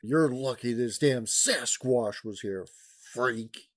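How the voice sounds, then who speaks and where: An actor playing an angry, middle-aged biker bully in an audio drama, with a menacing, mocking delivery. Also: male voice, mid-range voice, slow, no echo, studio quality, close to an everyday voice.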